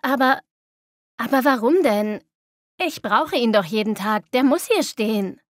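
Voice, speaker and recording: A woman playing an apologetic young mother in a clearly articulated language-lesson dialogue, speaking politely. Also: a little high, animated, unhurried, no room sound, clean studio sound. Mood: protesting.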